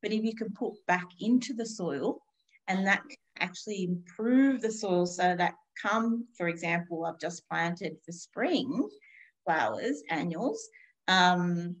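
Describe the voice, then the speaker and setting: A woman's voice: lowish voice, animated, unhurried, poor audio. A woman in her fifties talking thoughtfully and unhurriedly in a casual conversation, with frequent restarts. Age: 50s. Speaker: guest.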